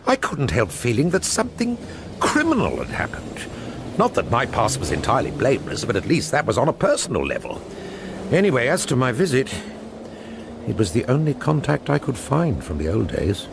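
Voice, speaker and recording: A man's voice: mid-range voice, huge pitch swings, moderate pace, gravelly, noisy background. An actor playing a weary, diffident older painter down on his luck, hesitant and polite, in a radio drama. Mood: wistful, reflective. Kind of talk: radio drama dialogue and narration.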